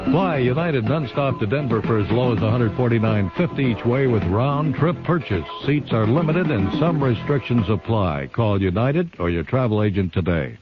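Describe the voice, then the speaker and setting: A man's voice: mid-range voice, expressive, normal speed, few pauses, loud music behind the voice. A man reading a scripted vintage radio commercial tag with offer details. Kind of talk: scripted radio ad disclaimer read. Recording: muffled old radio broadcast.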